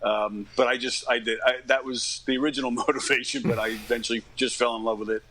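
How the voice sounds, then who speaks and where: A man of about fifty from Long Island, New York, telling stories casually as an interview guest, in a halting, informal way that often doubles back. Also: medium pitch, flat delivery, sharp tone, rough recording. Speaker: interview guest, stage actor. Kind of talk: casual conversational storytelling.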